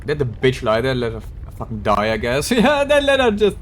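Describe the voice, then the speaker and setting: Foul-mouthed man with lively, swinging pitch. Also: a little fast, slight background noise. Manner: crude, profane, mocking. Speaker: game streamer reacting to a story quest. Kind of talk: casual live stream commentary.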